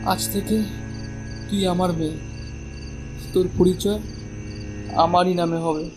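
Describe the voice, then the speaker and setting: Man acting a slow-spoken, troubled village father. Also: high voice, fairly even intonation, music in the background, low-quality recording. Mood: tender, resolute. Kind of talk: dramatic cartoon story acting.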